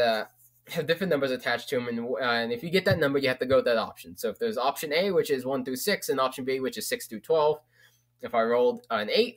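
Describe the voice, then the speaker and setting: A young man talks casually to camera, rambling with plenty of fillers and restarts. He swears offhandedly, and his voice sits at an ordinary middle pitch. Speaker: vlogger. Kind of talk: casual book recommendation.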